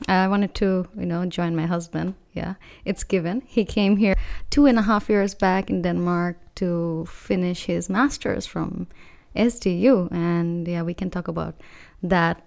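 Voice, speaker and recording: Young woman from Bangladesh, student podcast co-host, slight vocal fry, halting delivery. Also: on the low side. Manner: hesitant, many fillers. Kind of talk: casual chat.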